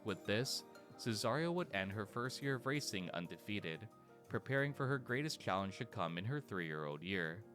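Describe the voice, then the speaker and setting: A man delivers scripted documentary narration in a steady storytelling manner. His voice sits low, and his intonation rises and falls a lot. Soft music plays behind him. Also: moderate pace.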